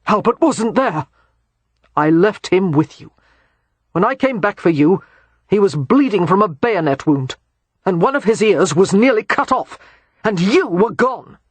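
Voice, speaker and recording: A man's voice: sits high, expressive, a little slow, husky, dry recording, voice pushed up, exaggerated voice. A man voicing a steely, protective male war hero who speaks in a firm, clipped way. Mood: fierce.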